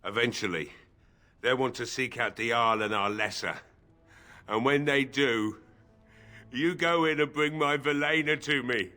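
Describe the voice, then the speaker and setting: A man's voice: medium pitch, unhurried, pauses often, bright, forceful tone, faint music behind. A man acting a grieving, drunken, bitter village blacksmith in a fantasy video game, with a weary, sardonic drawl. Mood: pleading, insistent. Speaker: voice actor in a fantasy role-playing game.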